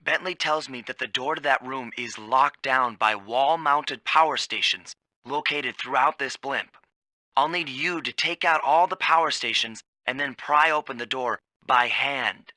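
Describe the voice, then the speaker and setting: A man's voice: medium pitch, unhurried, pushed and bright, dry recording. A man playing a confident thief leader, a cartoon animal hero in a video game, giving directions in a casual, assured way. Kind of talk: video game mission dialogue.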